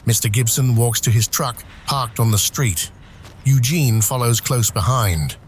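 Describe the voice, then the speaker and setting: A man's voice: mid-range voice, measured pace, slight background noise. A man reading scripted screenplay narration for an audio drama.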